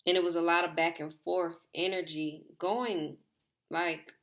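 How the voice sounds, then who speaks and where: A woman giving a rambling, casual tarot reading to her viewers, her voice slightly hoarse. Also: on the low side, flat delivery, measured pace, fairly bright tone. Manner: rambling, repeats herself, filler "like". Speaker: tarot reader.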